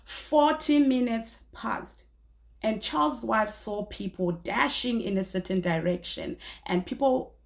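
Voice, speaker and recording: Woman, slightly hoarse, 30s, chatty true-crime YouTuber, lots of fillers and restarts, small room, close camera mic. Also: a little high, unhurried. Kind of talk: casual storytelling to camera.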